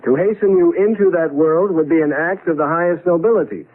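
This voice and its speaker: Actor as an earnest husband on tinny vintage radio. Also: male voice, on the high side, natural voice. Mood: declamatory. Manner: earnest, halting, emphatic. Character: bewildered, wry husband stranded in the past. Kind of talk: 1970s radio drama acting. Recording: tinny, band-limited vintage radio recording.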